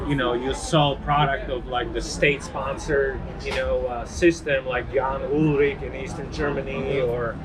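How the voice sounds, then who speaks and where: A man's voice: mid-range voice, steady tone, measured pace, hardly any pauses, a loud crowd, low-quality recording. Guy, 40s, easygoing chat, lots of "you know", people chattering behind. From Eastern Slovakia. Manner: friendly, full of "you know" fillers. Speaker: guest. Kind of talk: casual podcast conversation.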